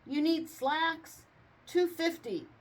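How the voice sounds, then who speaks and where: A woman's voice: very high-pitched, slow pace, voice pushed up. A woman voicing a brash, bad-tempered rich man in a storybook, brusque and scornful. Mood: hawking.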